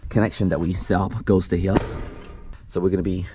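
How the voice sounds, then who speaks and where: A man's voice: sits low, fairly even intonation, a little fast, noisy background. A man hosting a DIY video, talking casually and thinking aloud as he walks through a hands-on installation outdoors. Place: outdoors by a concrete wall.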